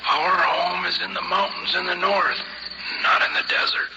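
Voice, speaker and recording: An actor playing a sorrowful, proud Martian native with gravelly, solemn and formal speech in an old-time radio drama. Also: male voice, sits high, steady tone. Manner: solemn, formal, measured speech in a foreign tongue. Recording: muffled, very noisy old radio recording.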